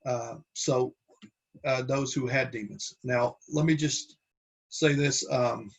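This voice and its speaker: A man teaching a Bible class from slides, talking in a halting way with many restarts and fillers. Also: mid-range voice, steady tone, vocal fry, slightly rough.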